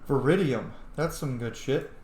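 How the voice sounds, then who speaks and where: A man's voice: medium pitch, unhurried, darkish tone, slight background noise. A man giving casual let's-play commentary on a video game, informal, exclamatory and given to swearing. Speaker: video game streamer, host. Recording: roomy, echoing room sound.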